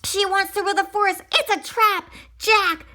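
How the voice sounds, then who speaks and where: A woman voicing a frantic, alarmist male duck in a children's storybook, shrill and exclamatory. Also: fairly even intonation, normal speed, bright, forceful tone.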